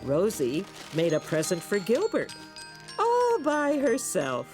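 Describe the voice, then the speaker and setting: A woman playing a kindly storybook narrator, telling a scripted preschool story with expressive delivery. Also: medium pitch, slow, soft background music. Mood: fond storytelling. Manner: expressive storytelling for young children.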